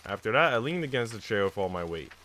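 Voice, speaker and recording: A man's voice: fairly low pitch, very animated, fast talker, bright, forceful tone. A man on a live stream, reading visual novel text aloud and chatting casually with viewers. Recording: noisy mic with typing sounds.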